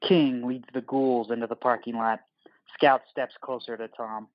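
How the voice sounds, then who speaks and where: A male narrator with a medium-pitched voice reads action lines for an audio drama. His phrasing is clipped, deliberate and dramatic, close and dry, with a slightly dull sound. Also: level tone, no room sound. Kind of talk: scripted narration of screenplay directions.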